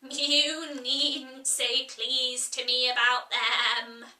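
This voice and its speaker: A bossy, grumpy sheep, put on by a woman reader, snaps her lines loud and slow and tacks on a bleating "meh." The voice sits fairly high and bright, heard from a distance in a roomy, echoing space. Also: flat delivery, full character voice. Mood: curt, scolding. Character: grumpy bossy female sheep knitting in a boat. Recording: roomy echo, distant mic.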